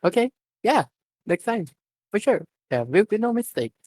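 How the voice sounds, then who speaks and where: A man voicing a bashful, excitable young woman adventurer in a story, eager and easily flustered. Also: high voice, normal speed, no echo, clean studio sound, voice pushed up. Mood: determined.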